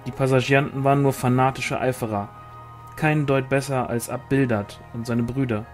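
A man's voice: mid-range voice, steady tone, moderate pace, soft background music. A man reading a novel aloud as scripted narration. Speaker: audiobook reader.

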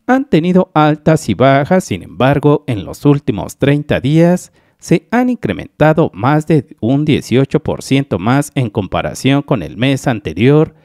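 A man's voice: medium pitch, sing-song, measured pace, darkish tone, no room sound, clean studio sound. A man from Mexico talking viewers step by step through a calculation in a screen-recorded explainer voice-over, calling them his dear friends. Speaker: YouTube channel analyst.

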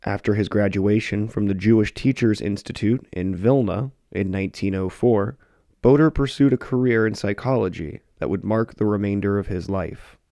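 A man narrating a short history film in a measured, scripted way. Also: on the low side, steady tone, mellow, no echo. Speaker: documentary narrator.

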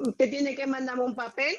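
A woman's voice: high-pitched, fairly even intonation, slow pace. A woman in a heated phone conversation, defiant while using pet names for the caller. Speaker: prank call target. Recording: noisy recorded phone call.

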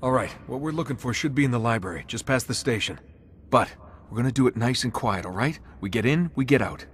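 An actor playing a wry, casual adventurer hero in a video game. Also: male voice, mid-range voice, expressive, fast, noisy background, lightly acted. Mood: focused. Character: wry, exasperated male adventurer hero, thirties. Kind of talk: naturalistic video game acting.

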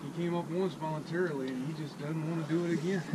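Middle aged guy on a fishing boat, kinda high voice, wry mutters, loud wind noise on action cam. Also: steady tone, fast talker. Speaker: angler and channel host. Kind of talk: casual chat while fighting a fish. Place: small open boat on a wide river outdoors. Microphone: action camera on the boat.

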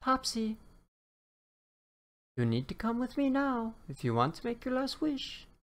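Woman putting on a little high-pitched lucky leprechaun. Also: very animated, a little slow. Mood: urgent. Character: small, brisk, impatient male lucky leprechaun.

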